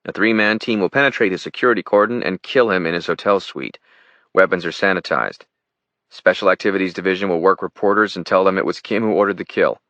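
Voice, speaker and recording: A man's voice: sits low, fast, a bit bright, dry recording, dull audio. A man playing a confident, brusque paramilitary chief in his thirties, delivering lines like a firm military briefing in an audiobook. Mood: businesslike, firm. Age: 30s.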